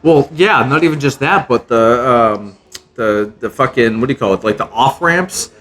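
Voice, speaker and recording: A man from Massachusetts hosts a casual podcast interview. His voice is bright and forward. He laughs easily and gropes for words. His questions are loose and friendly. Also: mid-range voice, lively intonation, moderate pace. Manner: laughs easily, hesitant, fills with "like". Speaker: podcast host. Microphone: podcast mic.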